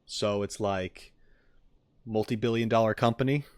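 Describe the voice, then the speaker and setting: A man's voice: fairly low pitch, steady tone, measured pace, smooth. A man chatting casually to his stream viewers as he reacts to game videos, informal, joking and blunt.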